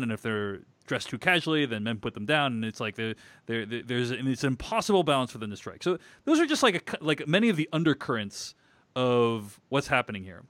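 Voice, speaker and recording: A man's voice: mid-range voice, fairly bright tone, no room sound. A man hosting a podcast, talking through a film in a rambling way with many restarts and fillers. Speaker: podcast host. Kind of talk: casual film discussion.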